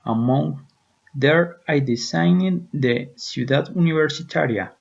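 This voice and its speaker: A man playing the spirit of a dead old architect in a scripted interview, answering plainly and haltingly. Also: a little high, creaky. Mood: calm, reminiscing. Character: spirit of a dead elderly male European architect. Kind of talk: scripted interview role-play in English. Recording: dull lo-fi recording.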